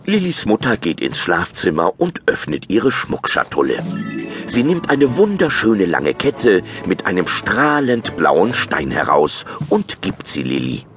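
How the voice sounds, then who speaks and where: A man's voice: low-pitched, huge pitch swings, a little slow, music in the background. A man reading scripted narration for a children's audio drama.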